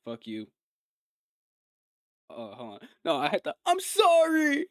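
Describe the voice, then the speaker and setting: Slangy male streamer, swinging pitch, dry sound. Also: huge pitch swings, takes it slowly, a bit bright, no echo. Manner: rambling, slangy, profane. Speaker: streamer reacting to a video. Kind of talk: casual live reaction commentary.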